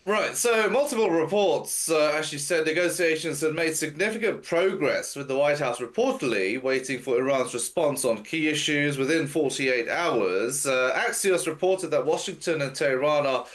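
A man's voice: a little high, moderate pace, few pauses. Congested man briefing the news. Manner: steady informative delivery with frequent uh fillers. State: blocked nose. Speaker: news commentator. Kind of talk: news briefing over video call. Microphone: video call.

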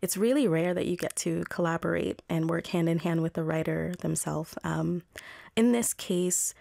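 Low, gravelly-voiced woman translator. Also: dark tone, no echo. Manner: thoughtful, measured, with frequent ums. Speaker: literary translator. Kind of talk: interview conversation.

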